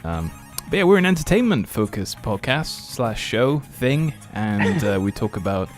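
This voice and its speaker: A man's voice: fairly low pitch, very animated, unhurried, faint music behind. A man from Austria hosting an entertainment podcast in a casual, rambling and hesitant chat style. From born and partly raised in Austria. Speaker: podcast host.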